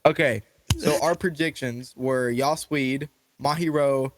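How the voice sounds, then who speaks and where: A man co-hosting a podcast, chatting casually in a jokey, excitable way. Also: mid-range voice, a little slow, no echo. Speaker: podcast co-host. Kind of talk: casual chat.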